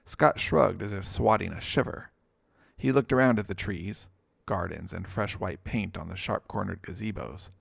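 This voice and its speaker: Male audiobook narrator, lowish and gravelly, scripted fiction read, very dry sound. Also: moderate pace, dry recording.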